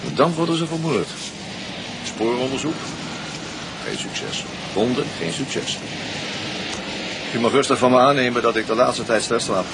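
Over noisy ambience, a weary police detective speaks Netherlands Dutch in a medium-pitched voice. He is businesslike and clipped, a sleepless investigator in a naturalistic radio play. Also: expressive, unhurried, long pauses. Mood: grave, businesslike. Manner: matter-of-fact, clipped questioning. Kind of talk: naturalistic radio drama acting.

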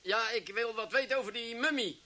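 Casting: impatient Dutch police boss, radio play, high voice, very sharp and forceful. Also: male voice, full character voice. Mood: flustered, exasperated. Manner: blustering, halting, exasperated. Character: gruff, impatient, blustering male Dutch police chief.

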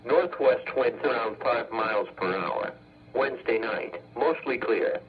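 A synthetic male text-to-speech voice reading an automated weather bulletin as a radio announcer, in measured, formulaic segments. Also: mid-range voice, animated. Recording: muffled weather radio broadcast.

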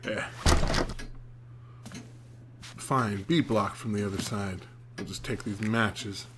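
A man's voice: on the low side, fairly even intonation, slow pace, background noise. A man streaming a horror game, chatting and reading in-game notes aloud, sometimes stumbling over names. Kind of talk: let's play commentary and reading notes aloud.

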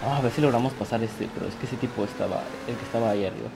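A man talks over his online game in an excitable, self-mocking way. He laughs often and jokes about his own mistakes. The sound of the game plays clearly behind his voice. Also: mid-range voice, racing. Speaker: gaming youtuber. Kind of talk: live gameplay commentary. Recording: game audio noise behind the voice.

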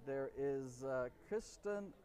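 A man's voice: medium pitch, animated, slow pace, a little mellow, a little hiss or hum. A man in his forties co-hosting a casual chat in an outdoor bar courtyard, reading from a prompter and stumbling over names. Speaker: podcast co-host.

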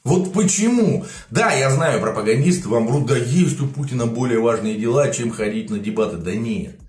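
A man's voice: medium pitch, expressive, normal speed, a little mellow, echoey room. A man in his forties giving emotional, indignant political commentary to camera from a home studio, gesturing a lot as he talks. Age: 40s. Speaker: political video blogger. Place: home studio room with plants.

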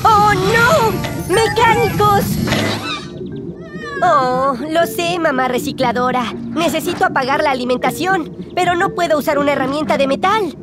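A woman's voice: very high-pitched, measured pace, music in the background. A woman playing a cheerful, helpful gecko mechanic in a children's cartoon, exclaiming and explaining things to young viewers. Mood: excited, urgent. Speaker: cartoon lead and narrator. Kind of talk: animated children's show dialogue dubbed in Spanish.

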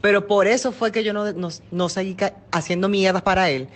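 A woman's voice: medium pitch, fairly even intonation, brisk. Insistent Dominican woman with a mid-range voice. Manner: insistent, aggrieved. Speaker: talk show host. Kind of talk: casual phone conversation, complaining. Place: on a talk show set with armchairs.

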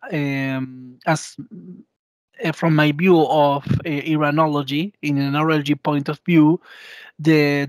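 A male podcast guest with an Argentinian accent, a native Spanish speaker. He talks in halting, non-native English, pausing to find words. The sound is dry, with no room echo. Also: on the high side. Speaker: podcast guest, professor of Persian. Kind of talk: interview conversation. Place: indoor room, video call.

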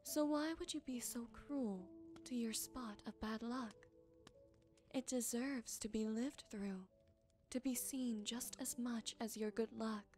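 Wise forest witch played by a woman for ASMR roleplay: fairly high voice, tender, very unhurried, caring with a soft tease. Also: soft background music. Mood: tender, reassuring.

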